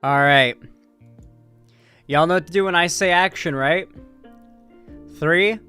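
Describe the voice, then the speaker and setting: A man's voice: fairly high pitch, slow pace, sharp tone, clean tone. A man hosting a livestream, talking in a casual, informal and chatty way. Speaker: livestream host. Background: background guitar music.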